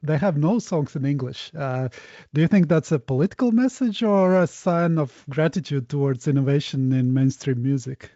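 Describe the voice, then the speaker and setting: A male podcast host asking interview questions in a hesitant, halting way with many fillers. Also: on the high side, mellow, no room sound, dull audio.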